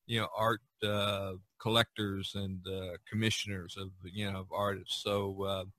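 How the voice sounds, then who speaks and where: A man's voice: low-pitched, flat delivery, measured pace, bright, forceful tone, no room sound. A middle-aged man hosting a podcast, chatting casually and ramblingly about art. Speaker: podcast host.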